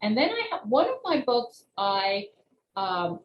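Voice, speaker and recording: A woman's voice: medium pitch, very animated, measured pace, muffled recording. A woman talking as an interview guest, an author explaining her work in careful detail. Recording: roomy echo, faint background noise.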